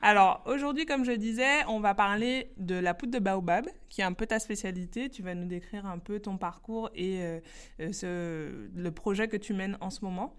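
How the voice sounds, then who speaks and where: A woman's voice: mid-range voice, moderate pace, clear, resonant tone. A woman hosting a conversational podcast interview, speaking informally and encouraging her guest. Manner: encouraging, informal, frequent affirmations. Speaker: podcast host.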